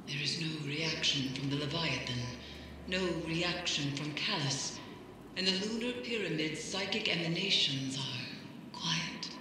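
A man's voice: a little high, close to an everyday voice. A man voicing a wary mystic ally in a game, speaking in a grave, foreboding way. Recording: echoey hall with noise.

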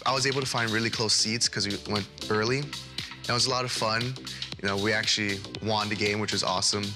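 A young man with a lowish voice gives an upbeat, chatty filmed interview. Music plays clearly beneath him. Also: steady tone, fairly quick. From Hawaii. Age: young adult. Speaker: student scholarship recipient. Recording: music playing underneath.

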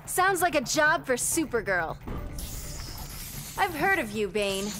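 A woman's voice: high-pitched, big pitch swings, slow, fairly bright tone, exaggerated voice. A woman voicing a young, earnest, defiant superheroine in a video game, sounding confident. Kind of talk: video game hero acting. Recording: music behind.

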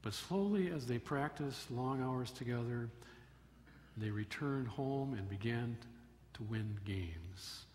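An older man giving a sermon from a church pulpit, telling a story in a measured way with emphatic rises. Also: mid-range voice, lively intonation, a little mellow. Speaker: pastor. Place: church sanctuary at a wooden pulpit. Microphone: pulpit microphone. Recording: long church reverb, faint room noise.

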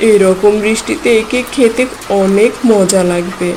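A woman's voice: mid-range voice, a little slow, noisy background, natural voice. A woman voicing a hungry, menacing male hawk that preys on small birds in a cartoon. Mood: gloating.

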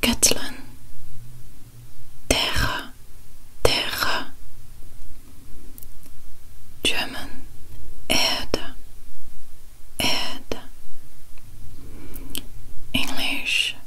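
A woman whispering softly for an ASMR video, saying each word twice in a slow, calm way. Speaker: ASMR creator.

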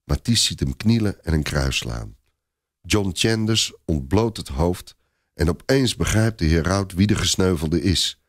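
A man with a very low voice narrates a story dramatically. The recording is dry, with no room sound. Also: animated, measured pace, no room sound.

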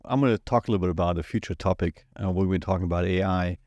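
Brief: German-accented man, 40s, low voice, laid-back podcast co-host, dry close mic. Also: racing, no echo. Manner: relaxed, rambling with many fillers. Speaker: IT company owner, podcast co-host. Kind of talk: casual podcast chat. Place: small studio set. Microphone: studio mic on boom at the mouth.

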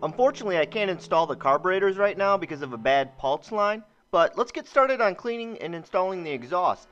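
Male DIY narrator, high voice with lively pitch swings, scripted tutorial delivery. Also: brisk. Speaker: DIY host. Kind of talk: scripted how-to voice-over narration. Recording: muffled, band-limited voice-over with music. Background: music.

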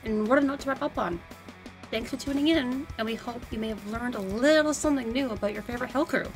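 A woman's voice: a little high, fast, faint music behind. A woman co-hosting a scripted commentary on a cartoon, in conversational banter. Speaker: co-host.

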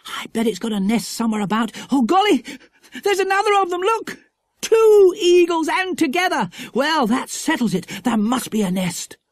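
Man playing an excitable, high-pitched eager schoolboy. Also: moderate pace, no room sound, studio quality. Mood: thrilled. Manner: exclaiming, enthusiastic. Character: excitable eager schoolboy in a children's adventure story.

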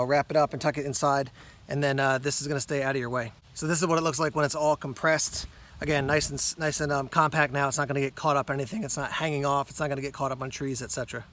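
A man giving a casual hands-on gear walkthrough outdoors, pausing with ums and correcting himself as he talks. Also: on the high side, fairly bright tone. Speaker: gear reviewer. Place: outdoors on a rock among plants.

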